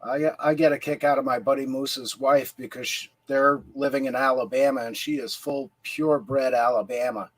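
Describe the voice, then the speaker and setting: A man telling a story in an easygoing way in a podcast chat. His voice is mid-pitched and sounds dry and close. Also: fairly even intonation, moderate pace, hardly any pauses, no echo, low-quality recording. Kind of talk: casual chat.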